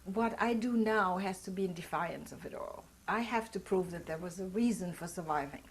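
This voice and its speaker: An older woman whose first language is not English, speaking reflectively and haltingly in an oral history interview. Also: mid-range voice, a little hiss or hum, low-quality recording. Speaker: Holocaust survivor interviewee.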